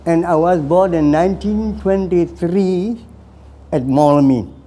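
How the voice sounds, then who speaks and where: Man in his 90s, from Burma, slow hesitant storytelling, somewhat high voice. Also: dark tone. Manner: hesitant, with pauses and repeated phrases. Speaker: veteran recounting his life.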